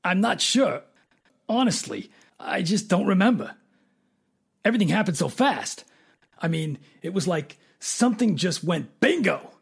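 Man acting a raspy high-pitched dazed tough guy. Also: very animated, exaggerated voice. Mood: confused, defensive. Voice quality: raspy, creaky. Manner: folksy ungrammatical speech, halting. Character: dazed tough plainspoken man, just knocked out. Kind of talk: radio drama acting.

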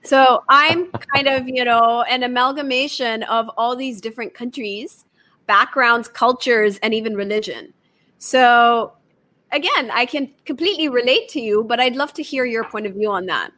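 A woman with a fairly high voice hosts a conversational interview. She asks open, reflective questions and mixes in personal asides between them. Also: moderate pace, bright, forceful tone. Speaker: interview host.

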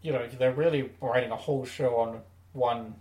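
A man's voice: mid-range voice, fairly even intonation, normal speed, darkish tone. A man co-hosting a podcast in casual, rambling, enthusiastic conversation. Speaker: podcast co-host. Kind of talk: casual discussion of audio dramas. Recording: echoey room, distant from mic.

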